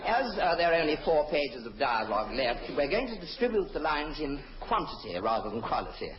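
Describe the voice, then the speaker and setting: Genteel, hesitant announcer for vintage radio comedy, man, animated intonation, dull archive sound. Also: a little high, brisk, a crowd in the background. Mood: fussy. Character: polite, fussy male announcer interrupting the show. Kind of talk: old radio comedy acting. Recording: dull old radio recording.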